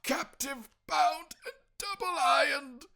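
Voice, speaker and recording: A man voicing the anguished ghost of a dead businessman in a theatrical audiobook, slow, wailing and declamatory. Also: extremely high pitch, creaky, bright, forceful tone, voice pushed up, exaggerated voice. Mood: anguished crying out. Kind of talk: theatrical audiobook character acting.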